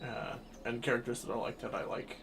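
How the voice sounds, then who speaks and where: A man's voice: medium pitch, fairly quick, vocal fry. Young guy, 20, streaming a game and just chatting, rambly, gets hyped sometimes, faint hum in the back. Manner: rambling, with bursts of excitement at the game. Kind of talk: casual chat while livestreaming a game.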